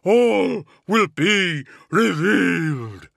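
Vast ancient leviathan demon: thunderous, imperious, very slow, pitched well above natural speech, forceful. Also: male voice, animated, vocal fry, no echo, clean studio sound, full character voice. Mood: thunderous demand. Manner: slow, drawn-out commands.

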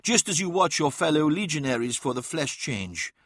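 A man's voice: fairly high pitch, sing-song, normal speed, a bit bright, slightly rough, no echo, exaggerated voice. Guy doing a knowing wolf warrior shaman in an audiobook, grave, probing, really dry close sound.

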